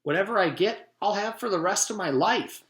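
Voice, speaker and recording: A man's voice: high-pitched, animated, brisk, fairly bright tone, voice pushed up. A man voicing an indecisive, earnest schoolboy who gripes and frets, in a storybook reading. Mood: agonized, fretful. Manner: griping, muttering, repeating himself. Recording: roomy echo.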